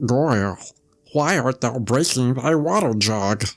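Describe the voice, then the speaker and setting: A man voicing a talking little water spring in a read-aloud storybook, slow and measured. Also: mid-range voice, expressive, faint music behind, voice pushed up. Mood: grave.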